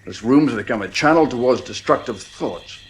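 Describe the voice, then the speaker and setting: Man acting a blunt, uneasy psychiatrist, lively pitch swings. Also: normal speed, a little hiss or hum, close to an everyday voice. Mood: blunt, admonishing. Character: blunt, uneasy male psychiatrist and family friend. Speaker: radio drama actor. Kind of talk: 1950s radio drama acting.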